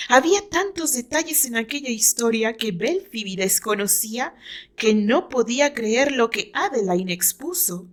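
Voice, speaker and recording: A woman with a Mexican accent reading her own novel as a dramatic, deliberate, theatrical story narration. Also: fairly high pitch, a bit bright.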